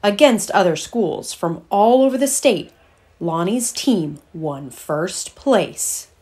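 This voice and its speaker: A middle-aged woman narrates a children's picture book. Her pace is slow, with deliberate pauses between phrases. Her medium-pitched voice has a teacherly way of setting out each phrase. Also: lively intonation, a little mellow, a little hiss or hum. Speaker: children's read-aloud host. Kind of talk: storybook read-aloud narration for children.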